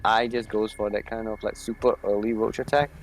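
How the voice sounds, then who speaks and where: A man's voice: on the low side, level tone, a little fast, slight background noise. A young man co-casting a live strategy game match, playful and rambling, often trailing off mid-sentence. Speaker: esports co-caster.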